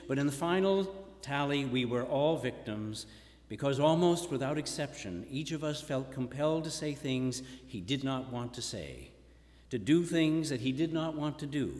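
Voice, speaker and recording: Guy reading a formal speech aloud, measured delivery, lots of hall echo. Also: mid-range voice, a little mellow. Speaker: reader in a staged performance. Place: large indoor hall or theatre. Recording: echoey hall with long reverb.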